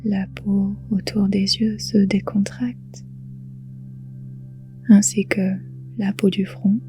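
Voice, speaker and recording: A woman guiding a relaxation meditation in a soothing, hushed, breathy voice, leaving long pauses between phrases. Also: mid-range voice, flat delivery, soft background music. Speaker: yoga nidra guide.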